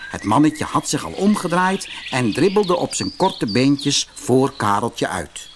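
A man narrating a fairy tale for children as an expressive storyteller. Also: medium pitch, measured pace, background noise.

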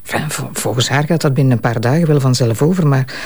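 An actress playing the exasperated, ashamed wife of a drunken police commissioner in a Flemish radio drama. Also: female voice, extremely low pitch, quick pace, darkish tone, slightly rough, heavily acted. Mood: worried, ashamed. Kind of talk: naturalistic radio drama acting.

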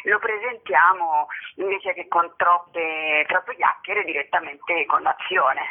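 Creaky-voiced woman on a phone line. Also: fairly low pitch. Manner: earnest, halting, searching for words. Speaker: interview guest, theatre artist and author. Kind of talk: spoken interview answers about own work. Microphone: telephone. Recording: narrow, tinny telephone line.

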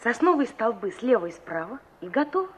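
A woman's voice: on the high side, big pitch swings, a little fast, slight background noise. An actress playing an earnest, stubborn and dreamy young country woman in a Soviet radio play. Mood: dreamy, eager. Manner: earnest, wistful. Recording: dull, muffled 1960s radio recording.

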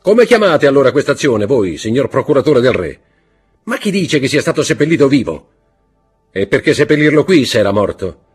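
A commanding nobleman count, pitched well above the reader's own voice and pushed loud and forceful, as a man reads him aloud. His tone stays husky underneath, with swooping, lively inflection. Audiobook drama reading. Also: fairly quick, faint music behind. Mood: indignant.

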